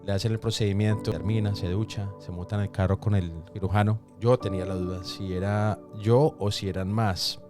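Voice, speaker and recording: A man giving a personal testimony in conversation, speaking reflectively with halting pauses. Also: fairly low pitch, fairly even intonation. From Colombia, raised in New York from age four. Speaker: podcast guest. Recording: roomy echo, faint music behind.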